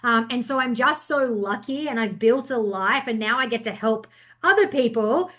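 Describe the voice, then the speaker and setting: A young adult woman speaking as a podcast guest in casual chat, passionate and energetic, swearing freely as she talks. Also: a little high, moderate pace.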